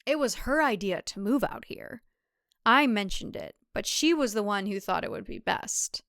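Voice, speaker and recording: A woman's voice: medium pitch, expressive, normal speed, a bit bright, dry recording. Woman playing a folksy, pushy husband, boastful; dry close read. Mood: confiding. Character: smug, overly familiar male farm husband.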